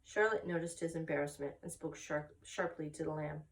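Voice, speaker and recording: A woman's voice: deep voice, brisk, far from the mic, rough recording. A woman reading a children's story aloud with expression as a story time narrator.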